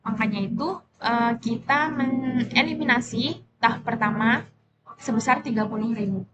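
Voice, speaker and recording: High-voiced young woman, noisy recording. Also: dull audio. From Indonesia. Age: young adult. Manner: halting, self-correcting. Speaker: student presenter. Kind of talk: explanatory class presentation.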